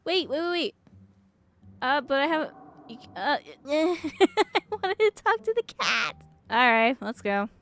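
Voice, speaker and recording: A woman's voice: high-pitched, takes it slowly. A woman streaming a game with playful, giggly commentary, reading the on-screen text aloud. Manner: giggly, excitable. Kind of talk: playful gaming commentary with read-aloud dialogue.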